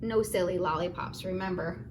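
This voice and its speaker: A woman teaching a children's phonics lesson in a gentle, encouraging, singsong way, at home. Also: fairly low pitch, normal speed. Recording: roomy, distant, noisy home recording.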